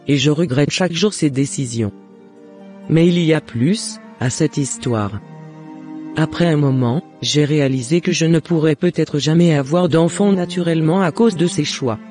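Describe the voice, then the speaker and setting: Cartoon mother, middle-aged, remorseful and apologetic , male actor, mellow timbre, slightly raised pitch. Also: normal speed, faint music behind, lightly acted. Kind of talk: scripted cartoon dialogue.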